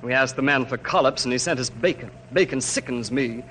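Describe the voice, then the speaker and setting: An actor playing a fussy, peevish, pompous politician with a querulous manner in classic radio drama. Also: male voice, medium pitch, a little fast, a bit bright, natural voice. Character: fussy, hungry, pompous male congressional commissioner, peevish. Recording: noisy old radio recording.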